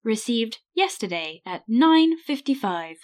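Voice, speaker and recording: A woman voicing an automated answering machine, announcing in a flat, formal, clipped manner. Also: on the high side, a little slow, pitched above the performer's own voice. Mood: impersonal.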